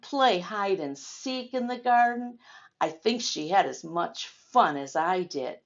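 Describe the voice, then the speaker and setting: An older woman hosting a children's storytime, reading a picture book aloud and speaking expressively and directly to young listeners. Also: medium pitch. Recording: dull, lo-fi sound.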